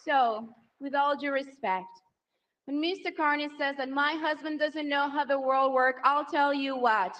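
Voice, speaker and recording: A woman's voice: sits high, measured pace, fairly bright tone, muffled recording. A woman, speaking emotionally into a handheld mic at a large outdoor rally and building to rousing applause lines. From Venezuela. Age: 30s. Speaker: political spouse introducing candidate. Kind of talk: rally speech.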